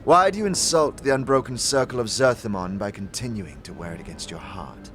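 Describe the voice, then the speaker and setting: A man acts out a severe warrior woman from a fantasy audio drama. Her speech is formal and archaic, full of scorn and accusation. Soft music sits behind the voice. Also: fairly low pitch, a little fast, natural voice. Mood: scornful. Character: stern, hostile, scornful female alien warrior.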